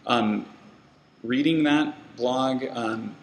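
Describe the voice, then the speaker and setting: A man's voice: mid-range voice, drawn-out words, a little hiss or hum. A man giving a casual talk to a meetup audience, rambling with lots of fillers and restarts. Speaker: meetup speaker. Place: meeting room with an audience.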